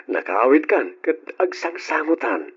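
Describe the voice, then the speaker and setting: Radio drama role: devoted, unsure boyfriend, Ilocano-accented man, slightly husky, dull lo-fi recording. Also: on the high side, very animated, unhurried, a bit bright, exaggerated voice. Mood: fond, easygoing. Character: devoted but hesitant boyfriend, gentle and apologetic. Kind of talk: old-time Ilocano radio drama acting.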